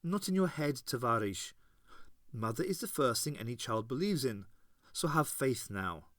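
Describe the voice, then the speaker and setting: A man's voice: expressive, moderate pace, fairly bright tone, no echo, studio quality, natural voice. A man reading aloud and voicing a grave male army captain with a Russian accent. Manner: grave, low and solemn.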